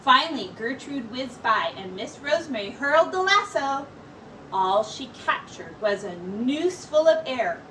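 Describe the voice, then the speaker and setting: A woman teacher reading a picture book aloud in slow, expressive storytelling. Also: high voice, distant mic, slight background noise, rough recording.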